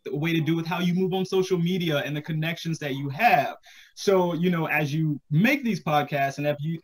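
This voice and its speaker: A man in his thirties talking casually on a panel video call, thoughtful and encouraging as he hedges his advice. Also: on the high side. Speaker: podcast creator, panel guest. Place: small home room indoors, video call. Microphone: computer video call.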